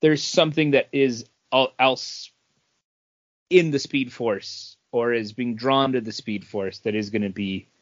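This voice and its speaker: A man's voice: mid-range voice, measured pace, dry recording. A man hosting a podcast in a casual, conversational review of comic books. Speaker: podcast host. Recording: dull, dry lo-fi sound.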